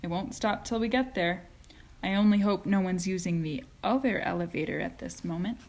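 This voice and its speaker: A woman voicing an eccentric, gallant male chocolate maker, brisk and unflappable, in a storybook reading. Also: on the low side, a little mellow, lightly acted. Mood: calm, unflappable.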